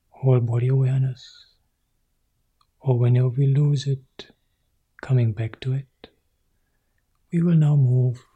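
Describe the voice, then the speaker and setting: Monk guy guiding meditation, super slow, soft and half whispered, deep mellow tone. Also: breathy edge, lo-fi sound. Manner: soft, slow phrases, often sinking to a whisper. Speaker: Buddhist monk leading meditation. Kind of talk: guided meditation.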